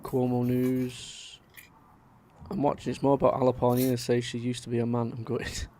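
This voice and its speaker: A man's voice: mid-range voice, completely flat delivery, normal speed, dark tone, slight background noise. A man, chatting casually to viewers on a live stream and reading out their comments. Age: 30s. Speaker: livestream host.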